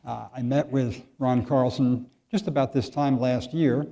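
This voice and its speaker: A man in his seventies lectures with a soft, very dark and mellow voice. He talks in a relaxed, conversational way. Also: mid-range voice, unhurried, vocal fry. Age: 70s. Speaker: guest lecturer, physician.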